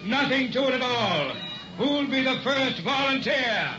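A man's voice: very high voice, animated, measured pace. A man playing a slick, theatrical carnival hypnotist with grand, teasing patter in an early sixties radio drama. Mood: grand, coaxing. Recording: dull old radio recording, music behind.

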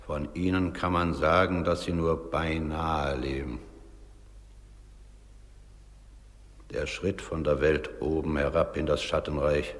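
Very deep, solemn judge of the dead. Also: male voice. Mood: grave. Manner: measured, grave, declamatory. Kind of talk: German radio play acting. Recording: long reverberant hall.

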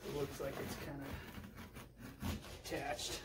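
An American man in his thirties casually talking through a DIY renovation, plain-spoken and halting as he thinks aloud. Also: mid-range voice, completely flat delivery. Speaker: DIY vlogger. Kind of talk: casual hands-on renovation walkthrough. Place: small empty bedroom indoors. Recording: roomy echo, faint hiss and handling clicks.

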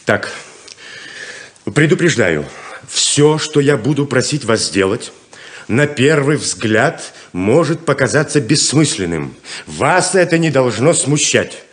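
An actor playing a grandiose, persuasive conman rainmaker in a 1970s Soviet radio play, swinging from hushed to exclaiming. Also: medium pitch, very animated, slow, pauses often. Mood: commanding. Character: smooth-talking, theatrical conman rainmaker, commanding and persuasive.

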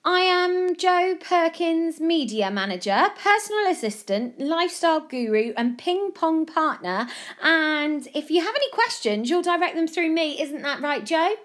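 A woman voicing a confident, cheeky schoolboy who acts like a brisk, self-important manager in a children's story. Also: very high voice, expressive, a bit bright, voice pushed up, heavily acted. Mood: cheeky, bossy.

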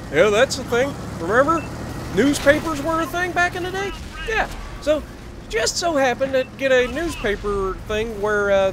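American guy in his 30s, high excitable voice, jokey storytelling, game noise behind him. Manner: animated, jokey, exclamatory. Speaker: gaming video creator. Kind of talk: casual storytelling over gameplay.

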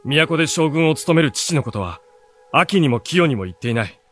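An earnest teenage boy, a quick-witted hero in a fantasy radio drama. His voice is on the high side and moves expressively, with music around it. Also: lively intonation, fairly bright tone. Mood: reflective. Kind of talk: radio drama acting with first-person narration.

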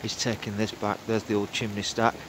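A man's voice: on the low side, monotone, a little fast. A man gives a casual, hesitant running commentary as an exploring vlogger, thinking aloud as he walks outdoors with a handheld phone. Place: outdoors among derelict buildings in winter woodland. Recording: wind and outdoor noise on phone mic.

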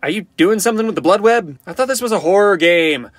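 Man acting a lively, cocky, flirty game survivor. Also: sits high, fast, no echo, clean studio sound. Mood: wry, joking. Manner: playful, theatrical, quippy, often sing-song. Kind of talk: fan-made video game character voice lines.

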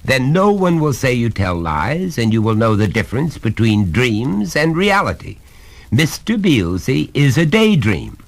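A man's voice: medium pitch, big pitch swings, unhurried, pitched above the performer's own voice. A man voicing a stern, pompous father who lectures and bullies with cold, emphatic precision in a storybook reading. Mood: stern lecturing.

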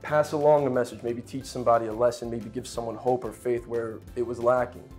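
A man in his thirties, from Poland and raised in Brooklyn, chatting as a guest actor in a lively, joking way with many restarts, on a lapel mic in a home kitchen. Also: mid-range voice, fairly quick, faint music behind. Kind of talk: casual interview chat.

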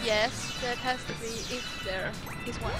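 A man voicing a bubbly young female chat viewer in a high, hesitant, giggly voice. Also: steady tone. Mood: teasing. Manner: giggly, hesitant, drawn-out speech. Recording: game music underneath.

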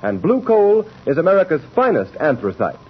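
A highish male announcer with a husky edge and lively intonation reads formally, like a salesman on 1930s radio. The recording is slightly dull. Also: moderate pace. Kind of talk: 1930s radio commercial read.

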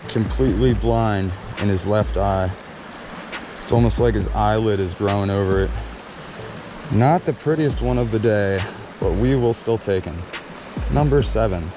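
A man chats to his viewers while fishing outdoors by a creek, his voice a little low. He talks casually and upbeat into a body-worn camera mic. Music plays clearly under his voice. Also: measured pace, pauses often, poor audio. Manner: upbeat, chatty, addressing viewers. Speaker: fishing vlogger. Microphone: body-worn action camera.

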